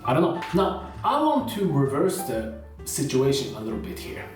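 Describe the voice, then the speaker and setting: A man's voice: mid-range voice, wildly swooping pitch, moderate pace. Guy vlogging, lots of "you know"s, voice bouncing around a big echoey room, bit far from the mic. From China. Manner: conversational, many fillers like "you know" and "uh". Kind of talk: casual talk to camera. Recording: roomy echo, heard from a distance, with faint guitar music.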